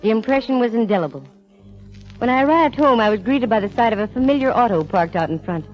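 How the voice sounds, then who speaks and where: A woman's voice: on the high side, animated, quick pace, soft background music, close to an everyday voice. An actress playing a wry, self-assured female private eye in breezy 1940s radio drama style. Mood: wry narration. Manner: breezy, wisecracking, worldly. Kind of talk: 1940s radio acting, hard-boiled first-person narration. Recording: muffled vintage radio recording.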